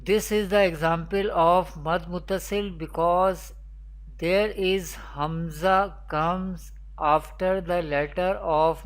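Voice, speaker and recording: A teacher delivers a scripted lesson on recitation. The pace is slow and measured. The manner is didactic, posing questions and restating the answers step by step. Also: medium pitch, rough recording. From Pakistan. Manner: didactic, repetitive.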